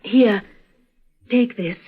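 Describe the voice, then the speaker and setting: An actress playing an earnest, principled young woman in love, speaking solemnly and deliberately in a vintage radio drama. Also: on the high side, slightly rough, heavily acted. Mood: urgent, solemn. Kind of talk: 1950s radio drama acting. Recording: muffled old radio recording, music behind.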